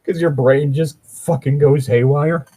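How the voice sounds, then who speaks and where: A man's voice: a little high, steady tone, a little slow, warm tone, husky. A man casually reading and critiquing a story as a podcast host, rambling, sweary and critical. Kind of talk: casual commentary with read-aloud passages.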